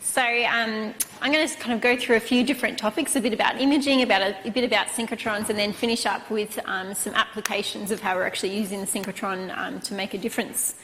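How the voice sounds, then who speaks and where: A soft-spoken woman, a guest lecturer opening a university talk in a casual way. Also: mid-range voice, fairly even intonation, fast talker, hardly any pauses. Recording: echoey lecture hall with loud noise.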